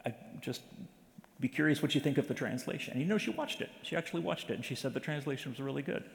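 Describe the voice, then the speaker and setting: A man's voice: medium pitch, fast, vocal fry. A man giving an informal, conversational talk to a church congregation, telling stories with many fillers and restarts. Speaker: missions speaker at a church presentation. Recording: reverberant hall.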